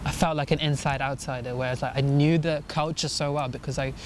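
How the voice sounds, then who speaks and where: A man's voice: mid-range voice, steady tone, fairly quick. A young man from India in his twenties, talking earnestly and thoughtfully in a casual interview, often restarting his sentences. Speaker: musician guest. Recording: noisy outdoor recording.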